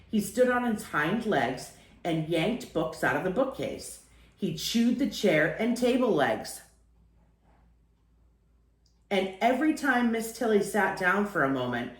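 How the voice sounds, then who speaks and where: A woman reading a children's picture book aloud in an expressive storytelling style. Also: lowish voice, reverberant.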